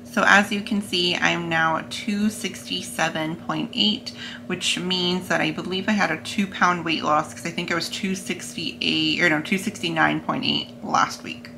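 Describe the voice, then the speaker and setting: A woman's voice: on the low side, steady tone, measured pace, creaky, over music, low-quality recording. A young adult American woman chatting casually to camera in a vlog, seated in a plain room at home. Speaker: vlogger.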